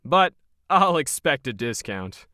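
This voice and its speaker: A man's voice: mid-range voice, sing-song, slow, pushed and bright, dry recording, pitched above the performer's own voice, full character voice. A male narrator acts out an arrogant, moneyed male villain in an audio story. He is cold and sly, taunting with pompous self-assurance. The sound is close and dry. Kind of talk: audio fiction character dialogue.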